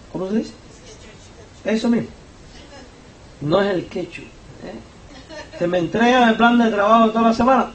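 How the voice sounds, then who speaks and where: A man with a Cuban accent, preaching a sermon as pastor, driving his points home by climbing in pitch and volume. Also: a little hiss or hum. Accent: Cuban Spanish. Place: church sanctuary. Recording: echoey church hall, voice from far off.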